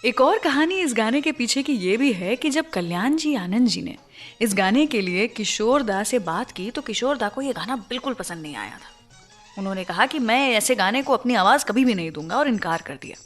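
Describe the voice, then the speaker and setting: A woman's voice: a little high, expressive, normal speed. A woman hosting a radio show, telling stories about film songs in a conversational way and putting questions straight to listeners. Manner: addresses listeners directly with questions. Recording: music playing behind the voice. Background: background music.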